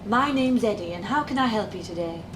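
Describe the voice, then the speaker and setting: A woman's voice: mid-range voice, animated, brisk, noisy background, close to an everyday voice. A young woman playing a jaded, sarcastic call-centre worker in her twenties in an audio drama. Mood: polite, weary. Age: young adult. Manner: informal, dry sarcasm. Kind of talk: naturalistic audio drama acting. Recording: roomy, music underneath.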